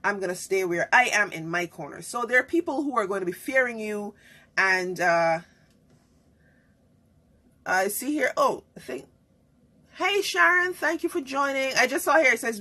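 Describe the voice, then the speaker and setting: A woman's voice: a little high, pushed and bright. A woman who hosts a live online talk show. She chats casually, greets viewers and laughs often.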